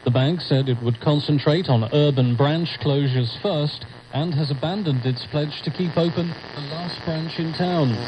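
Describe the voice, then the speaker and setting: A man reading a scripted radio news bulletin as the newsreader. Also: mid-range voice. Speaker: news reader. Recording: very noisy shortwave radio broadcast.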